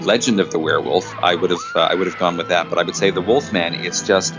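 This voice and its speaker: Male interviewee, deep register, enthusiastic and effusive, slightly lo-fi sound. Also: steady tone, fast talker, over music. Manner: effusive, repeats phrases for emphasis. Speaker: podcast guest, filmmaker and actor.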